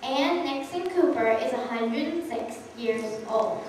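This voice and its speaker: A woman's voice: high-pitched, unhurried. A young woman reading a political speech aloud at a church service. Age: young adult. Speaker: congregation youth member reading a speech. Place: large church, indoors. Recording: echoey church hall, far off, faint noise.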